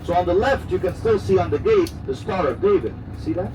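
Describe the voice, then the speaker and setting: A man's voice: sits high, animated, fairly quick. A man, giving a chatty, joking guided tour and talking straight to his group. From Netherlands. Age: 30s. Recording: distant muffled voice over loud vehicle noise.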